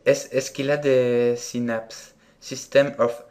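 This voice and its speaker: A man making an unscripted prank phone call as a would-be buyer, asking questions in a deadpan, hesitant, persistent way. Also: mid-range voice, slow. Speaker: prank caller posing as a buyer.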